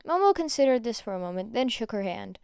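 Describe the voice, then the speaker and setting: A woman narrating a fan fiction story aloud with expressive delivery. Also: a little high, fast, clean tone, no echo, clean studio sound.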